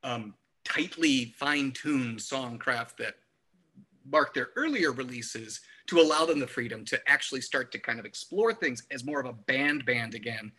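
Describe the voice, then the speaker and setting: A man's voice: mid-range voice, lively intonation, moderate pace, sharp tone, husky, rough recording. A middle-aged man co-hosting a music podcast, talking casually and analytically with enthusiasm. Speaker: music review podcast co-host. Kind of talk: casual album discussion.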